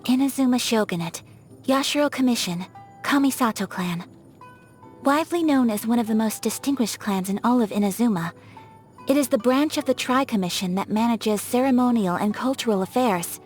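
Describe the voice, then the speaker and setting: A woman playing a gentle, refined young noblewoman in a video game, speaking with formal, poetic, soft-spoken politeness. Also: on the high side, normal speed, natural voice. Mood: solemn, earnest. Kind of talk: scripted video game character voice lines. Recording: music behind the voice. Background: music.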